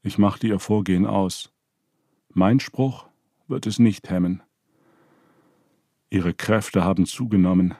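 A man's voice: low voice, fairly even intonation, takes it slowly, long pauses, mellow, no room sound, clean studio sound, heavily acted. A man reading aloud, voicing Anu, an elder male god, shaken and apologetic, speaking in a subdued manner.

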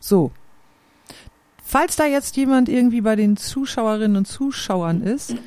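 A woman's voice: a little high, expressive, unhurried, dark tone, no room sound. A woman, hosting a casual conversation and thinking aloud, often searching for words with many fillers. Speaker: podcast host and singer.

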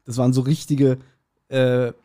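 A man's voice: medium pitch, flat delivery, unhurried, warm tone. A man, chatting casually and telling nostalgic anecdotes in a blunt, joking way as a podcast host.

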